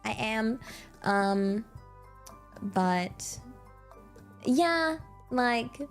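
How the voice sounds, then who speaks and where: A young woman with a British accent talks through her thoughts on a livestream at an unhurried pace, full of hesitant fillers and restarts. Her tone is reflective and candid, with soft music playing faintly behind her. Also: on the high side, expressive. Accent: British English. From England. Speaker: streamer. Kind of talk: casual chat with live chat readers.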